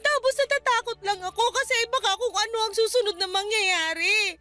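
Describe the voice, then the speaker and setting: A woman's voice: extremely high pitch, brisk, bright, forceful tone, no echo. A woman playing a distraught young wife and daughter in a Filipino radio drama, respectful but wounded, pleading tearfully. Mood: tearful, afraid.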